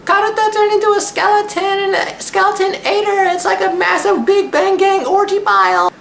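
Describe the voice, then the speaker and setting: A man voicing a shrill, scandalized, gossiping schoolgirl in a mocking anime recap. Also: fairly even intonation, normal speed, creaky, a bit bright, echoey room, slight background noise, heavily acted. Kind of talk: mocking impression in an anime review.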